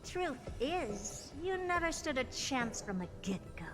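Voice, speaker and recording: A woman's voice: high voice, very animated, a little slow, music in the background. A woman playing a haughty, teasing genius scholar in a video game, with smug, theatrical, condescending delivery. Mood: mocking, playful menace.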